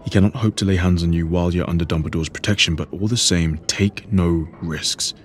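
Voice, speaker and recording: A man playing a protective, earnest godfather wizard in a dramatised audiobook, speaking in a measured, cautioning way. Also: sits low, steady tone, slight background noise, lightly acted. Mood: grave concern. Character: protective, grave godfather wizard writing a letter.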